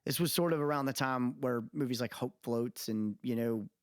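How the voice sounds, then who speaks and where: A man's voice: medium pitch, normal speed, clean tone, no room sound, clean studio sound. A man co-hosting a podcast, talking casually and opinionatedly about music in a rambling, storytelling way. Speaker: podcast co-host.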